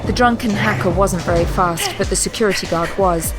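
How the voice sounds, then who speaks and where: An actress narrating a scripted audio drama as a composed third-person storyteller. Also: female voice, medium pitch, a little fast, loud music behind the voice, natural voice. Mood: suspenseful.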